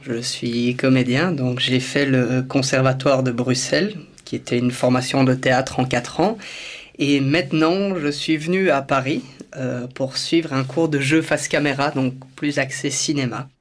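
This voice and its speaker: A man's voice: mid-range voice, steady tone, unhurried. A man in his twenties from Belgium talking informally to camera about himself. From Brussels, Belgium. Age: 20s. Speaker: actor presenting himself. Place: indoor studio.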